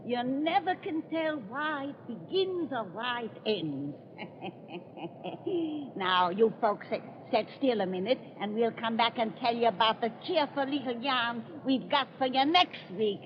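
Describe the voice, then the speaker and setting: A woman's voice: on the high side, lively intonation, unhurried. A woman playing a gleefully sinister, cackling old witch storyteller in a 1930s radio horror show. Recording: muffled, noisy 1930s radio recording.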